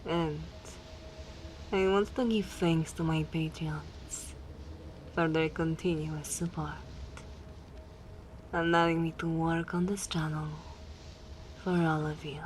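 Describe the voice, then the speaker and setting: A female ASMR host speaks in a soft, low voice that often drops into a breathy whisper. Her delivery is extremely slow and deliberate, over faint background noise. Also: steady tone, rough recording. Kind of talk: soft ASMR channel outro.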